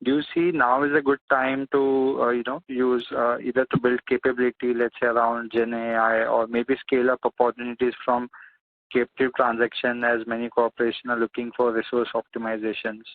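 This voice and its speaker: A man's voice: medium pitch, completely flat delivery, brisk, no room sound. A man working as a financial analyst, putting questions on an earnings call in hesitant, piecemeal phrases. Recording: dry narrowband phone line.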